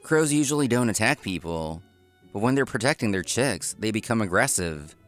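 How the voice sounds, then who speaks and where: A man narrates a manga story in the first person. His voice sits fairly low and moves with lively intonation, over background music. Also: moderate pace. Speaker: narrator and lead character.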